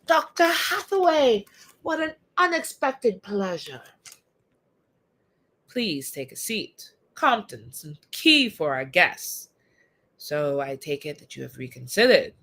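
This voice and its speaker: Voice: medium pitch, sing-song, slow, bright, forceful tone, heavily acted. A performer voicing a composed, sinister English master of an occult order, with a put-on British accent, in a story reading. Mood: courteous.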